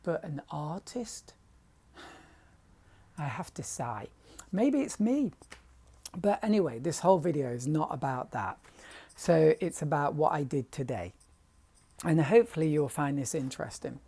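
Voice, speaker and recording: A man's voice: fairly high pitch, expressive, measured pace, vocal fry, pauses often, warm tone, breathy edge. A middle-aged English man with a dry voice, rambling hesitantly and self-deprecatingly in a confessional vlog about his painting. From England. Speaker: painter vlogger.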